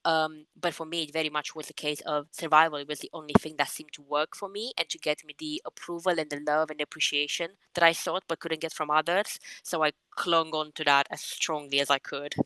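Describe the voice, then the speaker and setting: Woman, from Romania, native Romanian speaker, young adult, low-pitched, bright and forceful tone, thoughtful, measured interview guest. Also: flat delivery, clean tone, no echo, rough recording. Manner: thoughtful, measured, with frequent ums and restarts. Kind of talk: reflective interview answers.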